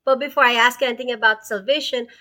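A woman hosting a podcast, welcoming her guest with excitement. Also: on the high side, fast talker. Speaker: podcast host. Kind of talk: podcast interview.

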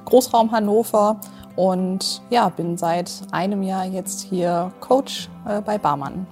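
A woman's voice: mid-range voice, takes it slowly, a little mellow, soft background music. A woman in her late twenties, a nutrition coach describing her workday casually, with many fillers. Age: 20s. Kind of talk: recruiting video interview.

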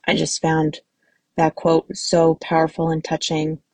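Soft-spoken listener, woman, very low husky voice, dry close recording. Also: monotone, unhurried, dry recording. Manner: soft, earnest, quoting a host. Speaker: listener sending a message. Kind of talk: recorded voice message.

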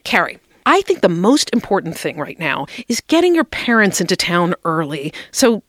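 A woman's voice: fairly low pitch, sing-song, normal speed, a bit bright, dry recording, studio quality. An actress playing a blunt, unflappable saleswoman in a comedy radio sketch, with matter-of-fact sales patter. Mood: cheerful sales pitch. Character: brisk, blunt, unflappable saleswoman serving customers.

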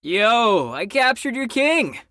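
A woman's voice: fairly high pitch, big pitch swings, slow, bright, forceful tone, a little hiss or hum, full character voice. A woman playing a cocky, sardonic, competitive character who teases others in an audio drama. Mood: teasing. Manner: teasing, sardonic.

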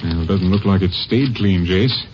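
An actor playing a grave, folksy Texas Rangers captain, emphatic in delivery, in a 1950s radio western. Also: male voice, low-pitched, normal speed, faint music behind, natural voice. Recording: muffled, faintly noisy old radio broadcast.

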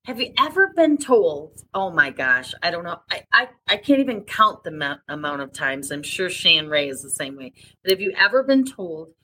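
A woman's voice: lowish voice, very animated, moderate pace, few pauses, bright, forceful tone, slightly rough, poor audio. A woman co-hosting a podcast in casual chat, stuffy with sniffles and talking in a rambling way with restarts. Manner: rambling, chatty, frequent restarts. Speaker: podcast co-host.

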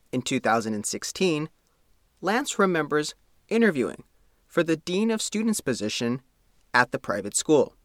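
Man, reporter reading a scripted feature, really animated pitch, dry close sound. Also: no room sound. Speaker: reporter and narrator.

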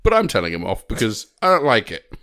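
A man with a fairly low voice co-hosts a casual podcast. He is playful and teasing, and his pitch moves around a lot as he jokes. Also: huge pitch swings, fast talker, pushed and bright. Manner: playful, sings a jingle, teasing. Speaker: podcast co-host.

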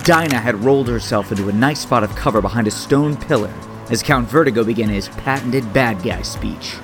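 A man playing a wry, lovestruck 38-year-old vigilante hero who narrates his story in a superhero audio drama, with a husky, self-deprecating delivery. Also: mid-range voice, a little fast, music in the background, close to an everyday voice. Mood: wry, steady.

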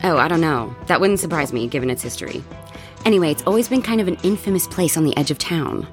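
Excitable young female roommate played by an actress, lowish voice with big swings, teasing and scheming, audio horror drama over music. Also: fast. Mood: gossipy. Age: young adult. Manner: animated, teasing, dramatic storytelling. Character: excitable young woman roommate, gossipy, bold, scheming to party.

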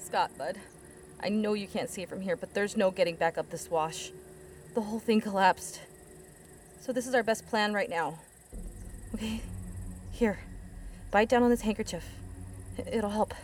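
A woman's voice: mid-range voice, normal speed, long pauses, slight background noise, natural voice. An actress playing a determined, protective woman hiker in an audio drama, urgent, foul-mouthed and reassuring under pressure. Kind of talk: full-cast audio drama acting.

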